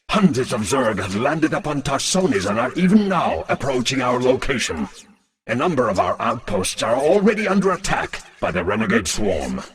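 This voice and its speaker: A man playing a dutiful lieutenant to an alien queen in a video game, formal, measured and deferential. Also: mid-range voice, fairly bright tone. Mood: urgent, dutiful.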